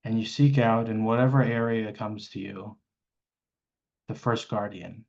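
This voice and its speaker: A man with a fairly low voice leads a guided meditation on a live stream. He feels his way through the instructions, often pausing mid-phrase before carrying on. The sound is slightly muffled and lo-fi. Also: steady tone, creaky, darkish tone.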